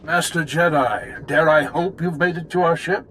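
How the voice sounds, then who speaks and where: An actor playing a self-serving, easily flustered military commander in a video game, defensive in manner. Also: male voice, on the high side, moderate pace, bright, forceful tone, slight background noise. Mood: smug, dismissive. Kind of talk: dramatic video game acting.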